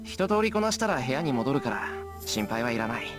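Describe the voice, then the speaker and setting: A man's voice: medium pitch, very animated, very fast, natural voice. A man playing an earnest, modest teenage apprentice mage in a Japanese visual novel. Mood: casual, brushing off. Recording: game music behind the voice.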